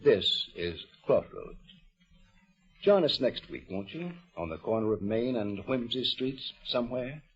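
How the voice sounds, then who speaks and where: An American man hosts a vintage radio show, reading his script like a short story. His delivery is measured yet moves widely in pitch, with a slightly husky tone. The recording is old and muffled. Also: very animated. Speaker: radio program host. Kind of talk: scripted mid-century radio narration.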